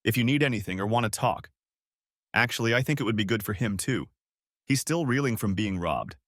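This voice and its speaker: A man's voice: on the low side, animated, brisk, a bit bright, dry recording, studio quality. A man reading a story aloud, voicing a friendly woman neighbor who talks earnestly and with concern. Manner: earnest, caring.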